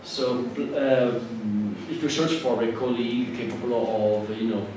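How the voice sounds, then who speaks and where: A man with an Italian accent, a native Italian speaker, giving a classroom lecture in a halting way with many fillers and restarts. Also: mid-range voice, steady tone. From Italy. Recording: echoey hall from far off with noise.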